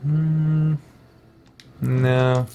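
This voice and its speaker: A man's voice: a little high, little pitch movement, very slow, dark tone, highs cut off. A man giving casual gameplay commentary, thinking aloud and muttering to himself with mild exclamations. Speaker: host of a role-play gaming playthrough.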